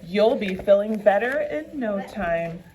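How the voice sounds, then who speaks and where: A woman's voice: medium pitch, animated, slow pace, crowd noise. A woman voicing a worried, caring young male fox cub in an earnest, exclaiming way for a storybook.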